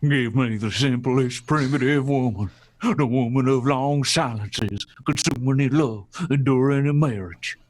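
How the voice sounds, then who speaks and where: A man's voice: mid-range voice, a little slow, natural voice. A man acting in an old-fashioned radio play as a harried, pompous adventurer and author, blustering and dismissive. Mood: lofty. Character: harried, pompous male adventurer-author, disdainful of fans and stars.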